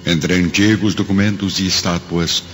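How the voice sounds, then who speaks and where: A man's voice: sits low, steady tone, moderate pace, a bit bright. A man reading scripted narration for a radio drama. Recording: music under the voice.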